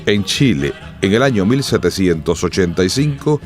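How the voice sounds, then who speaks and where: A man giving solemn, measured, dramatic scripted narration for a radio drama. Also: sits low, animated, faint music behind.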